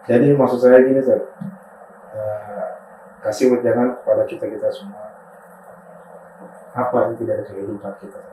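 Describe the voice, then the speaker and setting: A man's voice: fairly low pitch, warm tone, a little hiss or hum. A male podcast host asking a preacher questions respectfully and echoing the guest's words back. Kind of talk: interview questions and brief reactions. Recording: roomy, distant mic with faint ambience.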